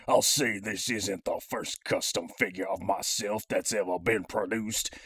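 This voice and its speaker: A man's voice: fairly low pitch, creaky, a bit bright, slightly rough, no room sound, heavily acted. A man voicing a cocky cybernetic gangster villain who hosts a toy review, talking in theatrical, slangy old-time mobster style. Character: cybernetic master criminal gangster, cocky, streetwise action-figure villain. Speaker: host of a toy review show. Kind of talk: in-character scripted review monologue.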